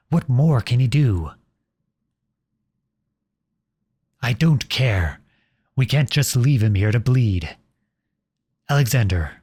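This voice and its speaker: A man playing a noble runaway outlaw prince in a dramatic audio roleplay, earnest and imploring, with a husky voice. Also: medium pitch, darkish tone, dry recording, clean studio sound. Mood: urgent, distressed. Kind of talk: dramatic ASMR roleplay acting.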